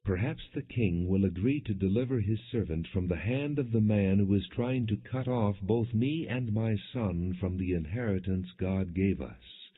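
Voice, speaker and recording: Deep-voiced man reading scripture, muffled recording. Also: normal speed, hardly any pauses, slightly rough. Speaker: audiobook narrator. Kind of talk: scripted scripture narration, non-dramatized reading. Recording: muffled lo-fi, phone-like band.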